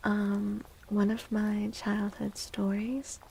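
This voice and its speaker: A soft-spoken woman hosting an ASMR livestream, gentle and unhurried, with a breathy, often whispered voice. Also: mid-range voice, monotone, a little hiss or hum, poor audio. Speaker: livestream host and tarot reader.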